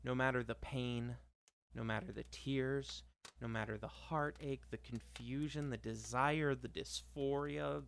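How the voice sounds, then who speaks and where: A man performing a dramatic monologue reading in a deliberate, repeating cadence with weighted pauses. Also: mid-range voice, vocal fry, noisy background. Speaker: monologue reader.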